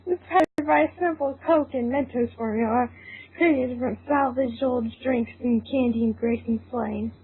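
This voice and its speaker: A woman's voice: on the high side, moderate pace, husky. A woman reading a story aloud as narrator in an informal way, stumbling now and then and apt to giggle. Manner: informal, breaks into giggles, stumbles over lines. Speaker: narrator of a fan fiction reading. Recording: thin phone-like sound, faint noise.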